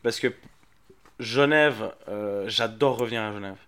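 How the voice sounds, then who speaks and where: A man, a comedian guest on a podcast, talking in a playful, animated way, laughing often and acting out little scenes. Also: lowish voice, a little slow, smooth. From Geneva, Switzerland. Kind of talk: casual podcast chat.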